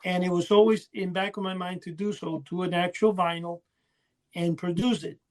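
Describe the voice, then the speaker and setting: A man's voice: high voice, steady tone, normal speed. A middle-aged man co-hosting a podcast, telling rambling, nostalgic stories in casual chat. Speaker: podcast co-host.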